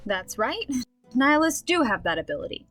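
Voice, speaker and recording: High-voiced woman playing a game master. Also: sing-song, normal speed, fairly bright tone, soft background music. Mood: storytelling. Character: game master narrating a comic fantasy tabletop game. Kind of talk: scripted radio drama narration.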